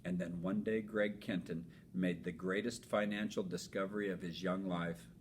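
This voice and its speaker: A man who teaches elementary school reads a children's storybook aloud in an expressive, emphatic way. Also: moderate pace. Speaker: fifth grade teacher reading aloud. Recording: noisy with low rumble.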